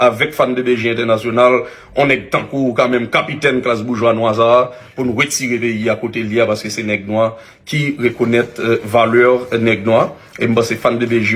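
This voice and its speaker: Haitian-accented man, earnest and halting. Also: medium pitch, a bit bright. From Haitian community, Canada. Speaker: online media commentator. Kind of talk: public apology video, partly read from a text.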